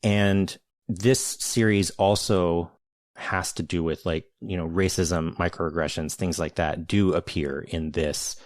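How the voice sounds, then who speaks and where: A man with a very low voice hosts a conversation, choosing his words carefully and circling back to rephrase. The sound is dry and close, with no room around it. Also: steady tone, no room sound. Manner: measured, searching, many restarts and hedges. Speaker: podcast host, interviewer. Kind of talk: thoughtful conversational interview.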